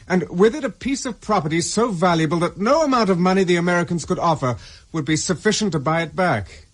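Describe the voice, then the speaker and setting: A man's voice: high-pitched, animated, brisk. An actor playing a wary, defiant man on the run, clipped and distrustful, in a radio spy drama.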